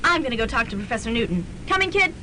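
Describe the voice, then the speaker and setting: An actress playing a pushy, excitable young reporter with a brisk, nosy manner. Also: female voice. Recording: noisy student radio recording, rumble.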